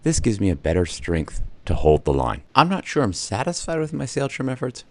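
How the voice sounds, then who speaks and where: A man narrating his solo sailing trip in a measured scripted voice-over, with dry wry asides. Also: medium pitch, very animated. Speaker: solo sailor and channel host.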